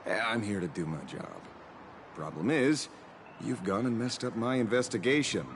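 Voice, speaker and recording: A man's voice: fairly low pitch, animated, moderate pace, a little hiss or hum, close to an everyday voice. An actor playing a cynical, guarded male detective in a video game, sardonic and drawling. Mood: wry, guarded.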